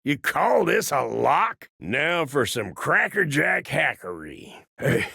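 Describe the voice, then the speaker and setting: Video game role: old cyborg war veteran, heavy vocal grit, unhurried folksy delivery, wry swagger. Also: male voice, a little high, sing-song, bright, forceful tone, dry recording, studio quality, full character voice. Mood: folksy, encouraging. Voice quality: gravelly, husky. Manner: folksy slangy drawling wisecracks, calls others kiddo. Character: grizzled folksy old male cyborg soldier, wry and cocky. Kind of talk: video game character barks.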